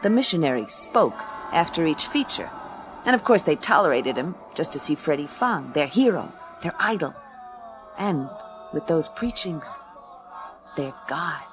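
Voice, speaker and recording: A woman's voice: sits low, very animated, measured pace. An actress playing a tough, wisecracking woman detective in a radio play, dry, blunt and slangy. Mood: dry narration. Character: tough, wisecracking woman gumshoe narrating her case. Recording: music under the voice.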